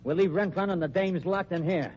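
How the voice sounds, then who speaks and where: A man's voice: high-pitched, brisk, darkish tone, close to an everyday voice. An actor playing a jittery, dim-witted henchman with slangy, pleading talk in an old radio crime drama. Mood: desperate. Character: jittery, dim male henchman, defensive and whiny. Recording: dull, noisy old radio recording.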